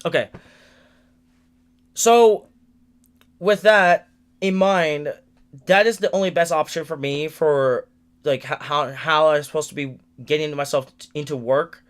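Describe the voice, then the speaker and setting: A man's voice: fairly high pitch, steady tone, a little slow, long pauses, smooth. A nineteen-year-old man giving a rambling livestream update from a room in his family home, venting with frequent restarts and repeated words. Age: late teens. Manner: frustrated, venting.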